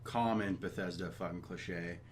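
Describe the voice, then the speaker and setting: Low-voiced sardonic man, noisy recording. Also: fairly even intonation, unhurried. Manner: blunt, sardonic complaining, heavy swearing. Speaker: video game podcast host. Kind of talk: casual profane chat about games.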